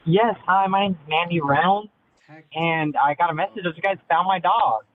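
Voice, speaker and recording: A man calling on a thin phone line, talking in a halting, hesitant, evasive way. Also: sits high, slight background noise. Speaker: caller.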